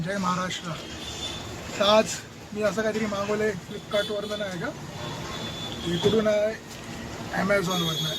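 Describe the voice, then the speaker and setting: A man with a Maharashtrian Indian accent talking casually in an unboxing vlog, informally mixing in English words. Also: high voice. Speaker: vlogger. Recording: very noisy, outdoor traffic-like background noise.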